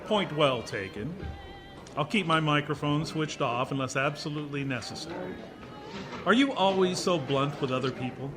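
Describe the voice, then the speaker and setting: A man's voice: fairly high pitch, normal speed, over music, lightly acted. A man acting in a radio play as a stiff, prickly military base commander, stern, clipped and formal in speech. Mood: gruff, easing. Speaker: actor.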